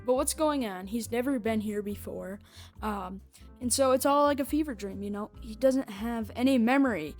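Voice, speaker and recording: A woman's voice: fairly high pitch, normal speed, a little mellow. A woman hosting a casual fan review, giving recap and reaction commentary. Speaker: reviewer and superfan host. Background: music.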